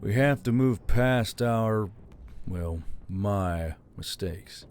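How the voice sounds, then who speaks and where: A man's voice: on the low side, extremely slow, darkish tone, a little hiss or hum. A man playing a weary, stern militia leader burdened by guilt in an audiobook drama. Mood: regretful, resolute.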